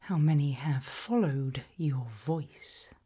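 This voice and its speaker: Breathy whispering British woman, creepy ASMR examiner. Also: low voice, dark tone. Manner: whispering, slow deliberate questioning. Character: creepy, probing female institute examiner, quietly playful. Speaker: ASMR roleplayer playing an interviewer.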